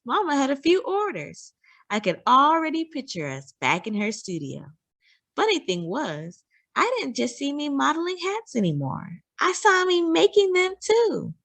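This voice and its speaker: A woman reads a picture book aloud as a storyteller for children. Her pace is slow and deliberate, and her pitch moves widely through the narration. The delivery is dramatic and expressive. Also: dry recording. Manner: deliberate and dramatic, acting out dialogue.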